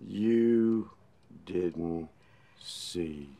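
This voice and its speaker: An actor playing a domineering, contemptuous working-class husband in a film drama, threatening and folksy. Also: male voice, sits low, drawn-out words, warm tone, slight background noise, full character voice. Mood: scornful denial. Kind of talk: tense film drama acting.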